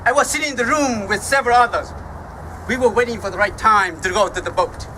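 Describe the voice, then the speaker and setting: A man in his fifties from Vietnam with a Vietnamese accent, giving a formal speech as guest speaker at an outdoor ceremony, slow and halting with emphatic pauses. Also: sits high, bright, forceful tone, slight background noise, rough recording. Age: 50s.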